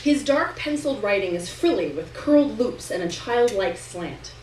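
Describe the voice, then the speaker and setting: A woman's voice: mid-range voice, lively intonation, normal speed, a little mellow. Woman reading fiction aloud in an echoey room. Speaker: reader performing a novel chapter to an audience. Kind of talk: expressive literary reading, first-person narration. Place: live reading event in a room. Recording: roomy, distant mic, faint noise.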